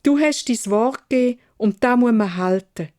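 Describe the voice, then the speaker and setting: A woman's voice: a little high, moderate pace, warm tone, pitched above the performer's own voice, natural voice. A Swiss German woman voicing a kind, honest, dutiful young beauty in a storybook fairy tale, speaking earnestly. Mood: firm, dutiful. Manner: earnest, emphatic.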